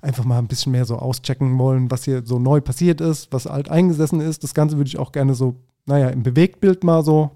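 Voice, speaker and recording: A man with a mellow, soft-edged voice in casual podcast conversation. His pitch sits in an ordinary male range. He talks informally, mixing in slang and English expressions. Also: steady tone, fairly quick, hardly any pauses. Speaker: food podcast co-host.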